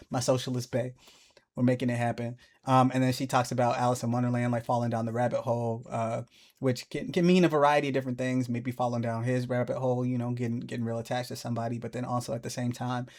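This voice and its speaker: A man talking casually as the host of a music reaction video, breaking down a song with animated asides. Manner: animated, jumps into high playful voices when excited.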